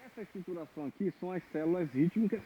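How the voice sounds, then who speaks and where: Husky man teaching percussion, tinny. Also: sits high, rapid-fire. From Maranhão, Brazil. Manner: explanatory, checks in with "tá?" and "ok?". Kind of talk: online music lesson. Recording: tinny phone-like audio.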